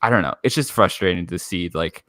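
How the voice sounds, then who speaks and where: A man's voice: low-pitched, a little fast, sharp tone, no room sound, clean studio sound. A man in a casual fan discussion, speaking in an emphatic, opinionated way. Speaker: podcast panelist.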